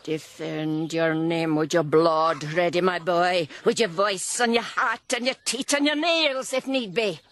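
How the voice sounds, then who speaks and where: A woman's voice: fairly low pitch, unhurried, few pauses, a bit bright. An actress playing an impassioned, fiercely proud Irish mother in a radio drama. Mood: fierce urging. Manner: theatrical, impassioned.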